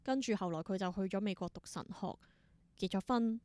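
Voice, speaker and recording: A woman's voice: medium pitch, brisk, a little mellow, no echo. A young adult woman with a Hong Kong Cantonese accent, telling stories casually as an interview guest and acting out bits of dialogue. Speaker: podcast guest, theatre performer.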